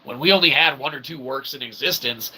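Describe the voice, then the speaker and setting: A man's voice: medium pitch, a little fast, pushed and bright, husky, poor audio. A man hosting a vlog in a casual, rambling monologue, slangy and sarcastic with joking asides. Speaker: vlog host and self-published author. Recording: faint background noise.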